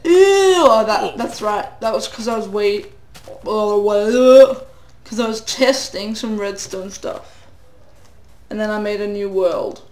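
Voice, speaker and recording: A man's voice: high voice, animated, takes it slowly, reverberant. Rambling teen boy with a cold. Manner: rambling, self-deprecating, sing-song asides. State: a cold, voice getting hoarse. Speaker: gamer. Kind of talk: casual game commentary.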